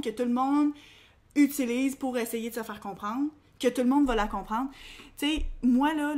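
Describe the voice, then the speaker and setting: Québécois woman co-host, young, high-pitched, quick chatty delivery, casual podcast. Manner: chatty, quick, colloquial, many fillers. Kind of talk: casual chat.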